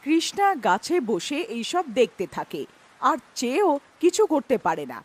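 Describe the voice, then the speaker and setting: A woman's voice: high voice, sing-song, normal speed. A woman narrating a scripted cartoon story as its storyteller.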